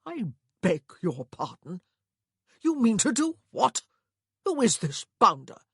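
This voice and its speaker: A woman's voice: lowish voice, very animated, measured pace, slightly rough, dry recording, clean studio sound, heavily acted. A woman voicing a fussy, anxious upper-class brother in an audiobook, with an earnest, blustering manner. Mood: shocked, indignant.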